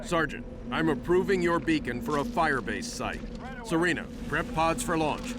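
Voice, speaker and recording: An actor playing a stern, commanding starship captain in a video game, giving clipped, authoritative orders. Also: male voice, a little high, animated, moderate pace, close to an everyday voice. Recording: loud music behind the voice.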